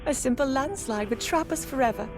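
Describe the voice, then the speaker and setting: An earnest young scholar princess from a fantasy game, played by an actress. Her voice is high and polite, with a matter-of-fact way of explaining things over faint music. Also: a little fast, close to an everyday voice. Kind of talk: video game cutscene acting.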